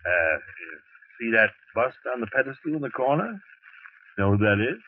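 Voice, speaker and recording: An actor playing a fussy, pompous weather bureau chief in a 1940s radio drama, flustered and lecturing. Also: male voice, medium pitch, very animated, normal speed. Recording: tinny, hissy old radio broadcast.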